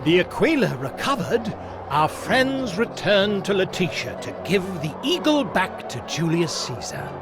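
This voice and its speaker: An actor playing a storybook narrator of a comic adventure, reading scripted narration. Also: male voice, sits high, very animated, unhurried. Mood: storytelling. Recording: loud music under the voice.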